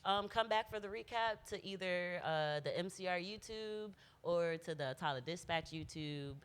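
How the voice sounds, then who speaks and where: A woman's voice: on the low side, moderate pace, clean tone. A woman hosting a community radio show, chatting casually and reflectively, heartfelt and informal as she searches for words.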